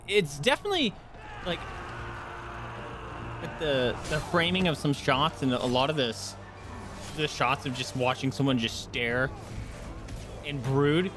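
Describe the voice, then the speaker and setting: A man livestreaming a game, chatting informally and wandering into asides for his viewers. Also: mid-range voice. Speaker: game streamer. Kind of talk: casual chat while livestreaming a game.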